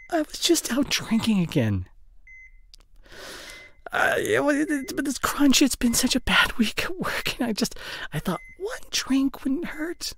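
Slow, halting, guilt-ridden boyfriend, acted by a man. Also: very high-pitched, vocal fry, full character voice. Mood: anguished, tearful. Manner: halting, stammering, apologetic. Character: guilty, remorseful drinking boyfriend, soft and pleading. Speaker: audio roleplay voice actor. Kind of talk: intimate boyfriend audio roleplay.

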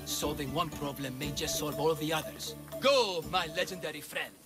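An actor voicing an excitable showman who runs monster trials, with theatrical salesman patter. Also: on the high side, moderate pace, over music, lightly acted. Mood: pushy. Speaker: game character. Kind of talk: video game voice acting.

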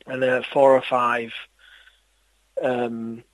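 A man's voice: mid-range voice, little pitch movement, very slow, no room sound, low-quality recording. A man chatting about his hobby in a casual interview, rambling and hesitant with frequent restarts. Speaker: interviewer and record collector.

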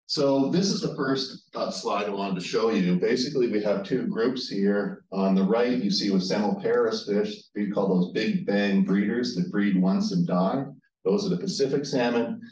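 A middle-aged man giving a measured conference lecture into a handheld mic. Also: low voice, a little mellow, slight background noise. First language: English. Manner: explanatory, measured with fillers. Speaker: invited speaker. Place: conference hall with audience. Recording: echoey hall, from far off.

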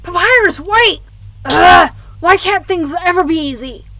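A woman's voice: very high-pitched, lively intonation, measured pace, bright, forceful tone, full character voice. A woman voicing a brash, exasperated female fish-monster warrior, blunt and impatient. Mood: urgent, exasperated. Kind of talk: amateur comic dub acting.

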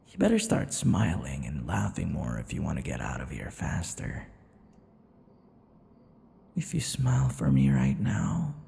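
A possessive, menacing male vampire, acted by a man for an ASMR audio roleplay, with a heavily creaky, lowish voice. He taunts slowly and breathily, often slipping toward a whisper, in a long reverberant space. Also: a little mellow, exaggerated voice. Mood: goading.

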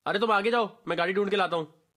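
A man's voice: sits high, fast talker. A man voicing a brash, streetwise gamer barking slangy squad callouts for a game voice pack. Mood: excited, urgent. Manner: animated, slangy, bossy.

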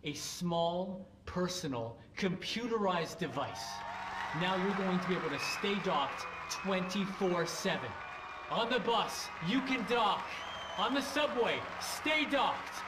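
In an echoing auditorium with a noisy crowd, a grandiose young male tech founder, delivers a satirical game keynote. He is high-voiced and pompous, pausing for emphasis after short phrases. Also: animated. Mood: smug, grandiose. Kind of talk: satirical product launch keynote, game cutscene. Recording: echoey hall with crowd noise.

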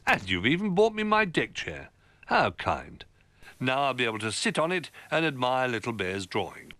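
A man voicing a kindly elderly toy bear from a storybook, gentle, polite and grandfatherly. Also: medium pitch, big pitch swings, moderate pace, no echo, voice pushed up. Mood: pleased, amused.

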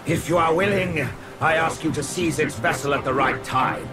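Man as proud rat king, slightly high male voice, slow, theatrical, formal game dialogue, music behind. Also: natural voice. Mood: resolute. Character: proud rat king, commanding, scheming noble disciple. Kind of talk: video game character acting.

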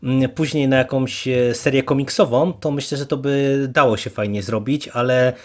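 Man talking in halting, choppy phrases. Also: mid-range voice, fairly even intonation, darkish tone. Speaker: podcast host. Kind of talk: casual review discussion.

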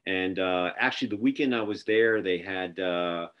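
A man's voice: low-pitched, moderate pace, clear, resonant tone, muffled recording. A middle-aged man hosting a casual video-call interview, telling stories with many fillers and restarts. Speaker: interview host. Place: office with printed backdrop, indoors. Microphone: video call webcam.

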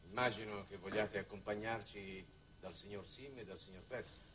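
An actor playing a calculating chief diplomat, formal, courteous and assured, in 1960s Italian TV drama style. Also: male voice, fairly low pitch, moderate pace, fairly bright tone, close to an everyday voice. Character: suave, calculating male diplomat leading a mission. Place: television studio set. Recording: distant, noisy old television soundtrack.

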